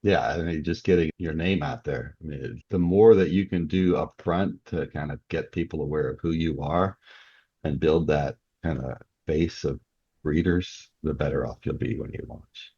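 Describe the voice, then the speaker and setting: A man speaking as a guest author in a relaxed interview, explaining things through examples. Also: a bass voice, moderate pace, husky, no room sound. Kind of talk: relaxed interview conversation about writing. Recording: dull, muffled lo-fi audio.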